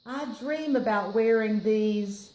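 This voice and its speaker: A woman with a Southern US accent reads to children in a slow, chatty way. She is playful and expressive. The room is echoey, with some background noise. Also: mid-range voice. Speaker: children's storytime reader. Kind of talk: picture book read-aloud with friendly asides to children.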